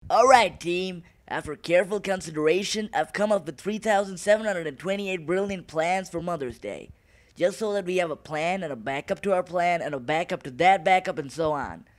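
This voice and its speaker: Cartoon big brother, twelve played by a man: high voice, eager overconfident schemer, dramatic delivery. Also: moderate pace, lightly acted. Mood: eager, confident. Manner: dramatic, self-assured. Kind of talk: cartoon voice acting.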